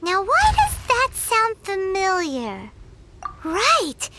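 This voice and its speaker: A woman's voice: extremely high pitch, wildly swooping pitch, extremely slow, bright, forceful tone, a little hiss or hum, full character voice. A woman voicing a small, childlike, chirpy fairy companion in a video game, outspoken and exclamatory. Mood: surprised. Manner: refers to self in third person, exclaiming. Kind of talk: animated video game voice acting.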